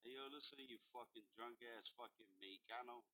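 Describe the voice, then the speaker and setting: A man's voice: medium pitch, steady tone, a little fast, pushed and bright. A man with a New York accent trash-talking in profane street slang, brash and combative, in a casual voice chat. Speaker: regular in a walkie-talkie app voice chat.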